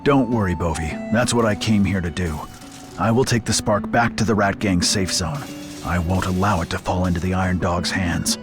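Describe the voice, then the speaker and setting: An actor playing a stoic, determined veteran fighter, the hero of a video game, speaking calmly and tersely. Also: male voice, low voice, moderate pace, lightly acted. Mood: reassuring, resolute. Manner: calm, resolute, terse. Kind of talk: English video game cutscene acting. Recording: loud music over the voice.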